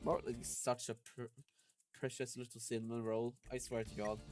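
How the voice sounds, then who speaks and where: A man's voice: mid-range voice, flat delivery, normal speed. Casual male gamer reacting over music. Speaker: game dubber and player. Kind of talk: casual reacting while voicing a video game.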